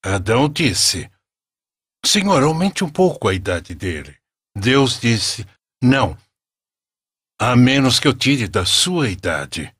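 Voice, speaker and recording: A man's voice: medium pitch, sing-song, takes it slowly, long pauses, a bit bright, breathy edge, dry recording, clean studio sound. A man narrating a scripted religious story in a solemn storyteller's manner.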